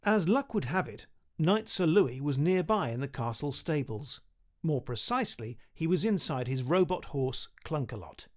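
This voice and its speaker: A man's voice: fairly high pitch, expressive, moderate pace. A British man, around his thirties, reading a children's story expressively into a close studio microphone. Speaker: author reading his book. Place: small home studio room, indoors. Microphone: studio condenser with pop filter, close.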